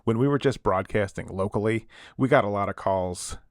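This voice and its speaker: A man playing a sympathetic, intrigued radio talk show host, speaking in a low-key, reassuring, conversational way. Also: fairly quick, no room sound, studio quality, natural voice. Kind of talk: call-in radio show in an audio drama.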